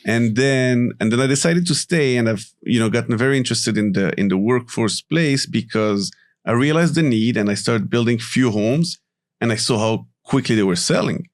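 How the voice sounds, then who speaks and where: A man from Morocco, a company CEO, talking casually as a podcast guest and explaining things in a relaxed way with frequent asides. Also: mid-range voice, normal speed. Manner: relaxed, explanatory, tags sentences with "right?" and "you know". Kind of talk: casual interview talk.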